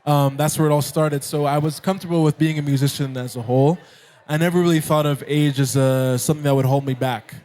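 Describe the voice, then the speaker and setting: A young man with an American accent, a guest musician chatting in a relaxed interview and laughing often. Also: fairly high pitch, fast talker. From Nairobi, Kenya. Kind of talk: casual interview chat.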